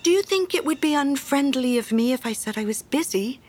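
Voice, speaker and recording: A woman's voice: high-pitched, normal speed, voice pushed up. A woman voicing a meek little man in a storybook, shy, deferential and eager to please. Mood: timid. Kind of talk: storybook character reading.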